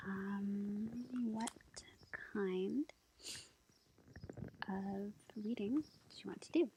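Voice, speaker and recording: A woman doing a soft-spoken, half-whispered ASMR roleplay as a tarot reader, with a breathy voice and an unhurried, hesitant, gentle, casual manner. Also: mid-range voice, steady tone. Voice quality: breathy, whispery, with creaky fry. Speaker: ASMR roleplay performer as a tarot-reading witch girlfriend. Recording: lo-fi with faint background noise. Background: faint forest ambience with bird song.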